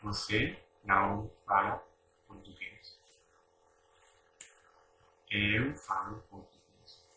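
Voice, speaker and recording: A middle-aged man teaching a language, slowly and deliberately modelling short phrases for learners to repeat. He is recorded from a distance in an echoey room. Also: lowish voice. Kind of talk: repetition drill lesson. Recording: echoey room, distant mic, faint background noise.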